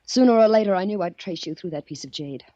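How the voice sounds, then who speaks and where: A young woman voiced by an actress bent on revenge, in vintage radio mystery style. She speaks in cold, clipped accusations at a middling pitch, and the old recording is dull and very dry. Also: animated, quick pace, dark tone, no room sound, lightly acted. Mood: cold, accusing. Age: young adult. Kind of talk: 1940s radio acting.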